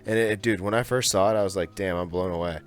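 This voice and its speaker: A young man rambles through casual podcast chatter in a lowish voice, full of fillers and restarts. Faint music sits underneath. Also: fast. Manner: rambling, loose, filler-heavy. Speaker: podcast co-host. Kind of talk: casual chat.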